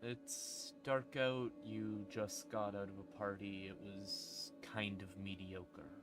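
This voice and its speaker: A man's voice: on the low side, little pitch movement, takes it slowly. A man livestreaming as a storyteller, with a casual, self-deprecating way of talking. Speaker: streamer, storyteller. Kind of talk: livestream ramble and atmospheric second-person horror narration. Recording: ambient music behind the voice. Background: creepy ambient music.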